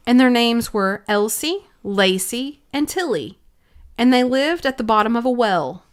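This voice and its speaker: Storybook dormouse, played by a woman, drowsy, weak, a little hoarse. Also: a little high, animated, measured pace, voice pushed up. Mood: hurried. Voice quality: hoarse, feeble. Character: sleepy, feeble dormouse telling a story.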